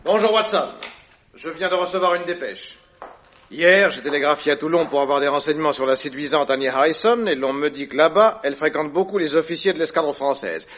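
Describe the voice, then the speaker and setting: An actor playing a calm, ironic detective in an old radio drama, giving wry, commanding orders. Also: male voice, on the high side, brisk, lightly acted. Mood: brisk. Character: calm, ironic male detective, middle-aged. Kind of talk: vintage radio drama acting. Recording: dull lo-fi old radio recording.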